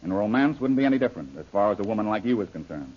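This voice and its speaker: A husky, blunt radio adventure hero. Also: male voice, fairly low pitch, big pitch swings, racing. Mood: suspicious. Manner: wry, blunt, folksy slang. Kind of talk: old-time radio serial acting. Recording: dull, lo-fi old radio recording.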